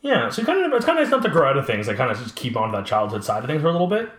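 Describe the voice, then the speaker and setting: Man, late twenties, rapid-fire casual talker, animated storyteller, echoey room sound. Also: medium pitch. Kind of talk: casual chat about collectibles. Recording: roomy, echoing room sound.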